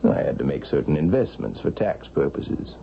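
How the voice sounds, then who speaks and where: A muffled vintage radio recording with faint hiss holds a stiff male lawyer. His voice is deep with heavy fry. He speaks formally and guardedly, giving away no feeling, in 1970s radio drama style. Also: sing-song, normal speed, full character voice. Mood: guarded, evasive. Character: stiff, emotionless, evasive lawyer son-in-law.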